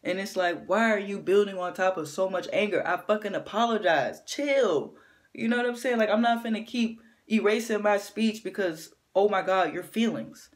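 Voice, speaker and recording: A woman with a deep voice gives an animated opinion rant to camera, often checking in with her listener. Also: normal speed. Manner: animated, keeps asking "you know what I'm saying". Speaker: vlogger.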